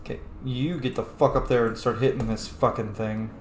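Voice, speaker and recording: A man's voice: mid-range voice, fairly even intonation, normal speed, echoey room, a little hiss or hum. A man giving casual, sweary gameplay commentary, talking informally to the game's characters as he plays. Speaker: gamer, let's play host.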